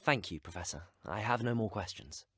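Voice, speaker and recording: An actor playing an earnest, curious teenage wizard student in a video game, speaking politely with a British accent. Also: male voice, lowish voice, lively intonation, a little fast, pushed and bright, slightly rough, dry recording, clean studio sound, exaggerated voice. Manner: polite, well-spoken. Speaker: player character voice.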